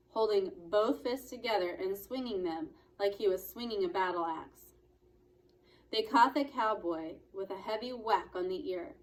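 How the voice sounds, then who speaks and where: A woman reads a children's book aloud as a storyteller. Her voice sits at a medium pitch, and she phrases deliberately and dramatically, leaning on key words. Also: rough recording. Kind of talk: expressive children's book narration.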